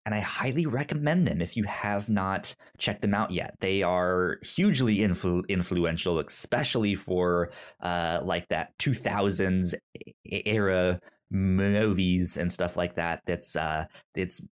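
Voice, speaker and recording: Stammering man hosting a chatty podcast. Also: low voice. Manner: halting, many fillers and stammered restarts. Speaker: podcast host. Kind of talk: casual chat.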